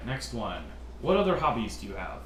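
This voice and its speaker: A man from California with a generally deep voice, hosting a casual question-and-answer chat and talking in a hesitant, easygoing way with lots of fillers. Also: big pitch swings, brisk, a bit bright. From California, USA. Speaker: channel host. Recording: roomy echo, faint noise.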